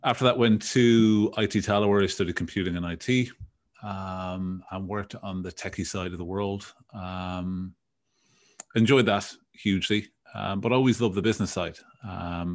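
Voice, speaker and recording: A man's voice: low-pitched, fairly even intonation, normal speed. A man of about forty from Dublin, with a Dublin Irish accent, chatting casually as an entrepreneur guest in an interview. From Dublin, Ireland. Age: around 40. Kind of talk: casual autobiographical interview talk.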